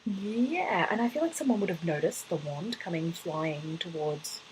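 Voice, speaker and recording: A woman's voice: fairly low pitch, expressive, moderate pace, a little hiss or hum, rough recording. A woman co-hosting a podcast in casual conversation over an online call. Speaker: podcast co-host.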